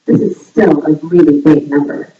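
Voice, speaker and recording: A woman reading a scripted voice-over like a playful quiz presenter, setting out each line clearly. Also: fairly even intonation, normal speed. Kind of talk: scripted voice-over narration, trivia quiz for a fundraiser. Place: voice audio played back in a room during filming. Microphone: far camera picking up played-back audio. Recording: echoey, distant, muffled low-quality playback.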